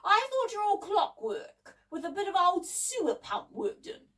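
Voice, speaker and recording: A woman's voice: a little high, animated, normal speed, fairly bright tone, far from the mic. A woman voicing a bold, scornful young boy who puts on bravery in a children's story, speaking in a defiant, exclamatory way.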